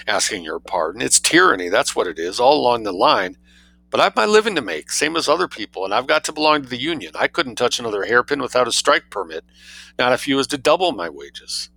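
A man's voice: mid-range voice, very animated, fairly quick, vocal fry, pushed and bright, dry recording, voice pushed up, exaggerated voice, rough recording. A man voicing a blunt, grumbling working-class lady's maid.

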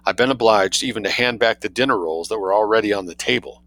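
A man's voice: fairly low pitch, a bit bright, no room sound. A man doing a grave, solemn butler. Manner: slow, solemn, deferential. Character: grave, formal male butler.

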